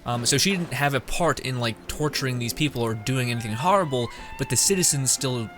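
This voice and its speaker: A man gives casual playthrough commentary as a streamer. His voice is medium in pitch and he rambles, thinking aloud about the game. Soft music sits faintly behind him. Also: brisk.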